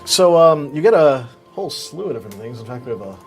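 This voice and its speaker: A man's voice: medium pitch, sing-song, quick pace, a little mellow, faint music behind. A man co-hosting a game livestream, upbeat and chatty with lots of fillers. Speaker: co-host on a game stream. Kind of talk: casual chat on a livestream.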